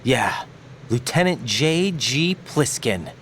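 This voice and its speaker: An actor playing an earnest, questioning young special-forces rookie in a dramatic video game. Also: male voice, mid-range voice, lively intonation, takes it slowly, fairly bright tone, a little hiss or hum. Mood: matter-of-fact. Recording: music under the voice. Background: game soundtrack music.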